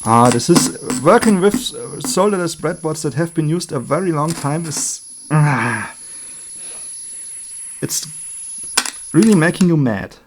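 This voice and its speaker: A man giving an unscripted hands-on electronics demonstration as a hobbyist, thinking aloud in short, halting remarks. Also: on the high side, lively intonation, a little hiss or hum.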